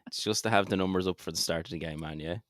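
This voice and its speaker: A man's voice: deep voice, rapid-fire, fairly bright tone, no echo, clean studio sound. An Irish man co-hosting a podcast, casually guiding a game playthrough in an easygoing way and repeating short phrases. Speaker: podcast co-host.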